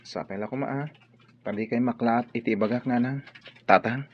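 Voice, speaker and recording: A soft-spoken, hesitant young son in a radio play, as a man acts him out. The recording is dull with a faint hiss underneath. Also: medium pitch, moderate pace, close to an everyday voice. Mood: earnest. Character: earnest young son hoping to be a priest. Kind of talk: radio drama acting. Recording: dull audio with faint noise.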